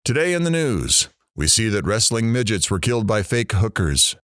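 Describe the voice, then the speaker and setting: A man acts out a sardonic middle-aged radio shock jock for an audio drama. His voice is low and swings widely as he delivers showy, sarcastic DJ patter. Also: very animated, normal speed, a bit bright, no echo, studio quality. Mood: brash, sardonic. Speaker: radio talk show host.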